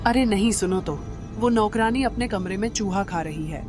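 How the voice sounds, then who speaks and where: A woman's voice: medium pitch, moderate pace, over music, natural voice. A woman voicing a worried young wife in a cartoon, insistent and frightened about her new maid. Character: anxious young wife Shanti. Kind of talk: cartoon voice acting.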